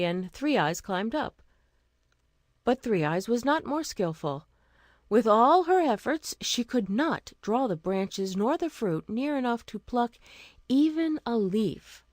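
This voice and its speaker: A woman narrating a scripted fairy tale as a storyteller, expressive and deliberate. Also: on the high side, no room sound, studio quality.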